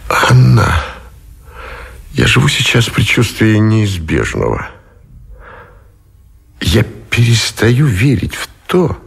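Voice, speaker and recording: A tired brooding admiral in a radio play, creaky voice, swings up and down a lot. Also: male voice, medium pitch, full character voice. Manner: slow, deliberate delivery with heavy pauses. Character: weary, brooding admiral, supreme commander facing inevitable defeat. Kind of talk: radio drama acting.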